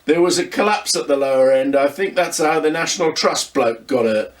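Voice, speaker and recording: A blustering, gruff pub landlord talks in a sly, conspiratorial way, as a man puts him on. The voice rises and falls a lot and sits a little back from the mic. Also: fairly high pitch, lively intonation, fairly quick, far from the mic, close to an everyday voice.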